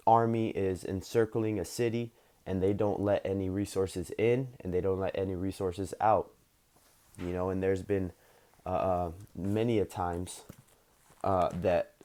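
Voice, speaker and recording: Male lay preacher, deep and warm, slightly hoarse, loose unscripted delivery. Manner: rambling, many fillers, reads scripture aloud. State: slightly hoarse, losing his voice. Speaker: religious teacher. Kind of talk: informal scripture lesson.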